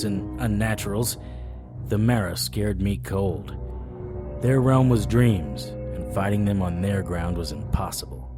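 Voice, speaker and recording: An actor playing a wry, hard-boiled occult investigator, narrating and speaking in a scripted audio drama. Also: male voice, on the low side, natural voice. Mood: confiding, grave. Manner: wry, sardonic.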